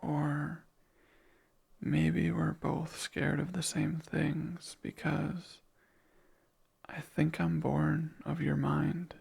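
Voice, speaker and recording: A lonely, clingy male sleep paralysis demon, played by a man for an ASMR roleplay. He murmurs in a very husky, breathy whisper with a strong gravelly crackle, at a crawling pace. His voice sits a touch high, and he muses softly to himself. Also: little pitch movement, full character voice. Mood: wistful. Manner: slow, soft-spoken, wistful musing.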